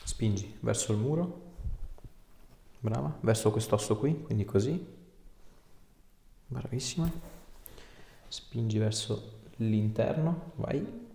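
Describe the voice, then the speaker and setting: A man's voice: medium pitch, expressive, slow, vocal fry, pauses often, warm tone, slight background noise. A man in his thirties, a chiropractor giving informal, encouraging instructions and chatting casually with a patient. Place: small indoor clinic treatment room. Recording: echoey small treatment room.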